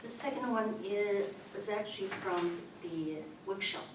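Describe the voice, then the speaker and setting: A woman whose first language is Chinese, reading her poems with slow, careful recitation at an open mic in a library room. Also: mid-range voice. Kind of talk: poetry reading. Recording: distant mic, echoey room, background noise.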